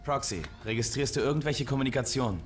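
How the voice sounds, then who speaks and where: An actor playing a loyal, determined young apprentice warrior in a game, terse and clipped. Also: male voice, mid-range voice, fast talker, soft background music, natural voice. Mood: terse, obedient. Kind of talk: dramatic German game dub.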